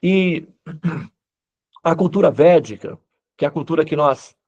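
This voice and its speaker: A man's voice: a little high, takes it slowly, darkish tone, muffled recording. A Brazilian man speaking Brazilian Portuguese as a spiritual teacher, explaining in an informal lecture style and often checking in with short tag questions.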